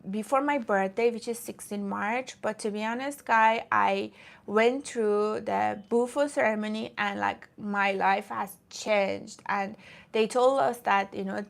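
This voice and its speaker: A woman's voice: mid-range voice, creaky. A woman from Iran who streams about fitness, chatting casually with viewers in a rambling way and often breaking off to greet commenters.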